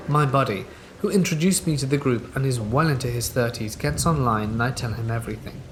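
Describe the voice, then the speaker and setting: A man narrating listener-submitted true scary stories in a steady, even reading. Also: medium pitch, a little hiss or hum. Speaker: horror story narrator.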